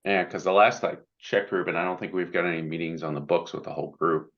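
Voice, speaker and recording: A man's voice: deep voice, fairly even intonation, quick pace. A man giving his input in a work meeting over an online call. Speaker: committee member in a technical meeting. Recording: muffled, dull call audio.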